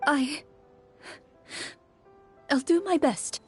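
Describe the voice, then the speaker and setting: A woman voicing a timid, worried soldier's wife in a game story, soft-spoken and hesitant. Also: high-pitched, very slow, faint music behind, voice pushed up. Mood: anxious, sad.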